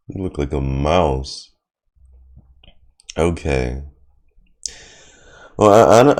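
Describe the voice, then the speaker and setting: A man's voice: extremely low pitch, a little mellow. A man streaming casual reaction commentary on game trailers, slangy and profane with frequent exclamations.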